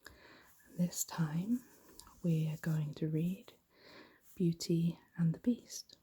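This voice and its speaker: Breathy whispering woman reading a bedtime story. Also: lowish voice. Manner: slow, gentle, hushed. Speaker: ASMR storytelling host. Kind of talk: soft-spoken ASMR bedtime story reading.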